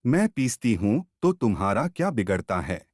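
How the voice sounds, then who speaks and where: A man's voice: fairly low pitch, sing-song, moderate pace, darkish tone, no room sound, studio quality. A man voicing a restless, defiant young village bride in a storybook, plain-spoken and stubborn. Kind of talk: storybook character dialogue.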